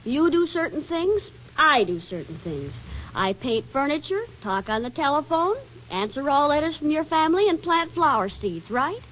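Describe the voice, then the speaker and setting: An actress playing a chatty, playful and insistent young wife in an arch, emphatic 1940s radio comedy style. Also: female voice, high voice, big pitch swings, moderate pace. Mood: firm, indignant. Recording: muffled, noisy 1940s radio recording.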